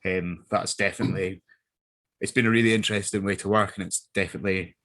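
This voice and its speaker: A man talking casually and reflectively in an interview as a voice actor, choosing words haltingly. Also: low-pitched, steady tone, fairly bright tone.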